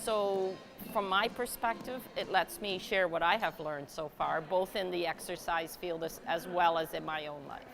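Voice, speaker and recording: A woman's voice: fairly low pitch, normal speed, vocal fry, few pauses, music in the background. A woman born in the Netherlands, Dutch as her first language, explaining her exercise and drumming class in an interview. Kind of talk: on-camera interview.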